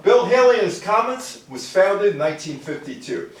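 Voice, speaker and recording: A man's voice: high voice, big pitch swings, normal speed. A man giving an informal history lecture to a live audience, putting rhetorical questions to his listeners. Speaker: lecturer, public speaker. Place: indoor room with a live audience. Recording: very echoey room, distant mic.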